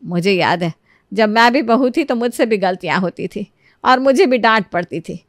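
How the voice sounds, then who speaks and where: A woman voicing a kindly, motherly middle-aged lady who reasons gently in a moral storybook tale. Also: medium pitch, lively intonation, normal speed, no room sound, clean studio sound, close to an everyday voice. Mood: earnest, caring. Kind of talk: animated moral storybook dialogue.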